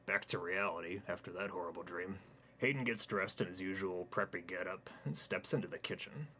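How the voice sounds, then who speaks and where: A man reading his own comic story aloud in a deadpan, rambling narration. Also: sits low, brisk, a little hiss or hum.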